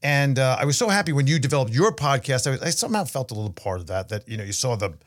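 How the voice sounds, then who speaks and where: A man's voice: medium pitch, expressive, fast. A man hosting a long-running interview podcast, talking in a friendly, rambling way with many restarts. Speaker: podcast host.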